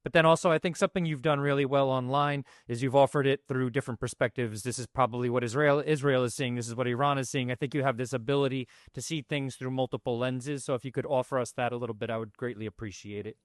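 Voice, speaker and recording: Measured male podcast host, dry sound. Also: medium pitch, steady tone, no room sound. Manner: measured, courteous, slightly halting. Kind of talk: interview introduction and questions. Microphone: video call.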